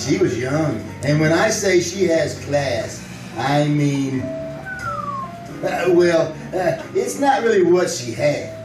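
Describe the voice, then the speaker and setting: An excitable, high-pitched barkeep acted by a man rambles in streetwise slang, his pitch bouncing around. It is old-time radio acting on an echoey stage, picked up from a distance. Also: slow, exaggerated voice. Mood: smitten. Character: Ethelbert, chatty, eager, lovestruck male barkeep. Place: live on a theatre stage. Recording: roomy stage performance, distant mic, music.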